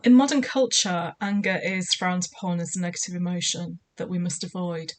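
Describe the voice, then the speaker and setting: A woman with a slightly croaky voice giving spiritual guidance in a measured, soothing, deliberate way. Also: on the low side, fairly even intonation. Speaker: spiritual guide. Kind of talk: scripted spiritual guidance talk.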